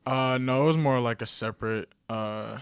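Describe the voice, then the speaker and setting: A man's voice: mid-range voice, normal speed, clear, resonant tone, dry recording, clean studio sound. A young man from Ontario, speaking as a guest athlete and giving casual interview answers. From Ontario, Canada. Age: young adult.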